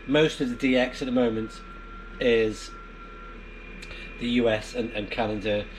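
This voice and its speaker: A man's voice: mid-range voice, steady tone, measured pace, poor audio. A man giving a casual, rambling vlog explanation, hesitant and thinking aloud as he goes. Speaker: amateur radio vlogger. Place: inside a barn. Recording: clear background noise in barn.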